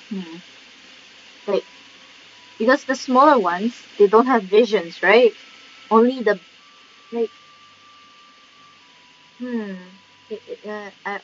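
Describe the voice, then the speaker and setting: A woman giving casual gameplay commentary, hesitant and rambling as she thinks aloud. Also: medium pitch. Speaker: game streamer. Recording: dull lo-fi mic, light noise.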